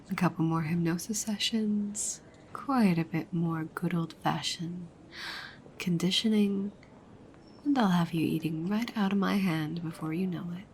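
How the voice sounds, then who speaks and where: A woman playing a dominant, teasing goddess girlfriend in an ASMR roleplay, soft, breathy and often whispering, coaxing and commanding. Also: fairly low pitch, slight background noise.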